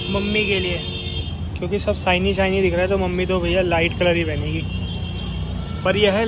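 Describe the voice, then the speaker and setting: Casting: male vlogger, high-pitched, chatty and repetitive, recorded amid clearly noisy street bustle. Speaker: vlogger filming a market tour. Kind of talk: casual street vlog chat. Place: outdoor street market. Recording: loud busy street noise, crowd and traffic.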